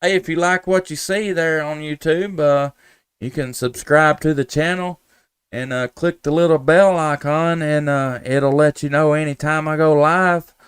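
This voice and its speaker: A man's voice: on the high side, steady tone, measured pace, smooth, dry recording. A man, chatting casually as a ham radio operator on a live stream in a folksy, easygoing way with call-sign phrasing. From United States, Tennessee.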